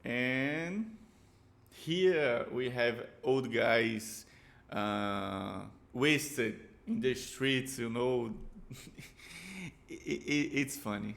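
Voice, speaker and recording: Brazilian man, native Portuguese speaker, reacting on camera in unhurried, gravelly, searching English. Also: mid-range voice, a bit bright. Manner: halting, repeats words, searches for English. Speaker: reaction video host. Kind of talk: casual reaction commentary.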